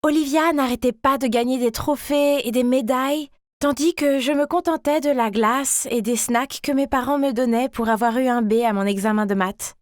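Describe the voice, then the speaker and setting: A woman's voice: sits high, moderate pace, no room sound, clean studio sound, natural voice. A woman voicing a chatty, ordinary schoolgirl, an overlooked younger sister who tells her own story expressively. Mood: cheerful, chatty. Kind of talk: animated first-person story narration.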